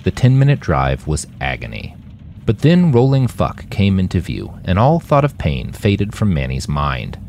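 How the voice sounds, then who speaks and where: A man reads scripted fiction as a narrator. His voice is low and moves very widely in pitch, with faint noise behind it. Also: huge pitch swings, unhurried, a little mellow. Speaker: author and narrator.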